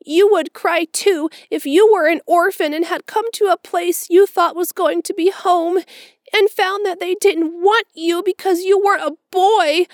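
A woman's voice: very high-pitched, fairly even intonation, measured pace, no room sound, clean studio sound, voice pushed up. A woman voicing an eager, dramatic orphan girl of about eleven, pleading in a breathless, florid way in a storybook reading. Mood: tearful. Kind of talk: audiobook character voice.

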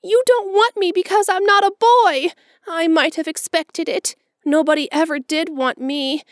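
A woman's voice: extremely high pitch, fairly even intonation, measured pace, no echo, clean studio sound, voice pushed up. A woman voicing an eager, dramatic orphan girl of about eleven, pleading in a breathless, florid way in a storybook reading. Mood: despairing. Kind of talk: audiobook character voice.

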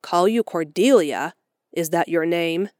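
A woman voicing a stern, practical middle-aged spinster who talks briskly and curtly in a storybook reading. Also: lowish voice, darkish tone, no room sound, studio quality, close to an everyday voice. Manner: brisk, curt, no-nonsense.

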